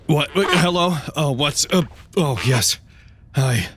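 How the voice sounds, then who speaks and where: A man's voice: fairly high pitch, slow, fairly bright tone, a little hiss or hum. A man playing an exhausted, stubborn radio operator in an audio drama, hesitant, evasive and jumpy. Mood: startled, frazzled. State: tired. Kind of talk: naturalistic audio drama dialogue.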